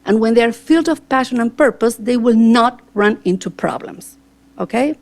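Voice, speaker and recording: A woman's voice: fairly high pitch, expressive, normal speed. A talkative, earnest woman from Argentina with an Argentine Spanish accent, answering a school board's interview questions. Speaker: board seat candidate.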